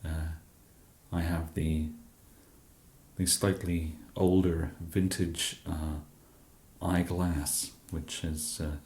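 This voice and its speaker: An English man in an ASMR roleplay as a shop genius, speaking softly and hesitantly with many fillers and a dry, self-deprecating humour. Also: very deep voice, little pitch movement, very slow, reverberant. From England.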